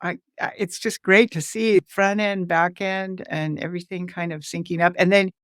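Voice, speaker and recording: A woman in casual tech chat, informal and a little halting as she thinks aloud. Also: on the low side, steady tone, clean tone, dry recording, clean studio sound. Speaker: educator on a developer livestream.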